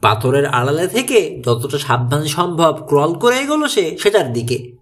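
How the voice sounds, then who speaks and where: High-voiced male audiobook narrator. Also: very animated, moderate pace, fairly bright tone. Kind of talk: scripted narration of a mystery thriller story.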